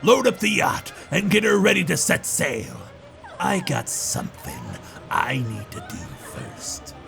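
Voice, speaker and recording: A man voicing an arrogant, greedy goblin trade prince with a gravelly, sharp voice and a blustering, insulting manner in a fan audio drama. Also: high voice, sing-song, measured pace, music in the background, a crowd in the background, heavily acted. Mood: brisk.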